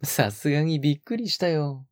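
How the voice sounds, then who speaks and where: A woman playing a soft-spoken, possessive young man in an intimate audio drama, coaxing and falsely sweet, with a breathy voice that often drops to a whisper. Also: low-pitched, animated, normal speed. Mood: mildly scolding.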